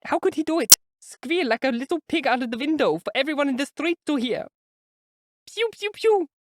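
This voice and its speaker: A reader voicing an excitable, indignant German landlady with a German accent, rattling out her complaints. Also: high voice, quick pace, dry recording, pitched above the performer's own voice, lightly acted. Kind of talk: audiobook character voice.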